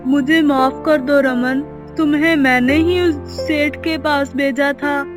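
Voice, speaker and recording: A woman's voice: high-pitched, steady tone, slow, over music. A woman playing a kindly, concerned male village landlord in a cartoon story, earnest and solicitous. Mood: regretful, earnest. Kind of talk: scripted cartoon story dialogue.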